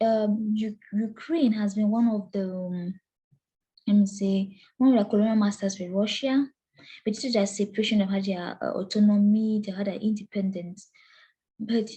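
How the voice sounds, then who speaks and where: A woman's voice: medium pitch, dark tone, husky, rough recording. Cameroonian woman presenting a lecture. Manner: earnest, with frequent fillers and restarts.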